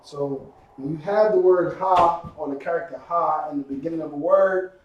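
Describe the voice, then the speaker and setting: Man teaching slowly in an echoey room. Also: fairly high pitch, far from the mic, muffled recording, slight background noise. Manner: drills words slowly, asks the student questions. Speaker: language class teacher. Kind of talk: teaching a language class.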